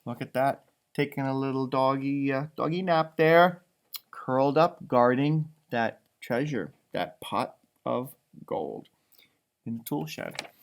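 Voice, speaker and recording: A man reading a storybook aloud to young children, pausing for musing, simply worded asides in an expressive manner. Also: medium pitch, a little hiss or hum. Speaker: storybook reader, elementary school teacher.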